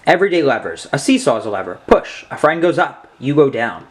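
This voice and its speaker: A man's voice: medium pitch, big pitch swings, brisk, slightly rough. A young man reading aloud and explaining simple science for children as a host.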